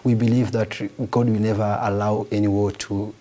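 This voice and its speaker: A young man with a lowish voice, a French native speaker, answers an interviewer earnestly. He talks in halting bursts, restarting and searching for words. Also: level tone, dark tone. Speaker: refugee organization founder.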